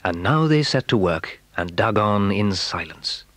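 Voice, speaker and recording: A man's voice: fairly low pitch, expressive, a little slow, fairly bright tone, dry recording. A man narrating a children's story in a dramatic storytelling manner.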